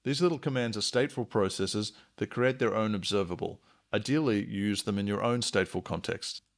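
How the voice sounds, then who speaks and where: A man narrating a software tutorial in a measured, instructional way. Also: fairly low pitch, vocal fry. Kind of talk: explanatory screencast tutorial narration.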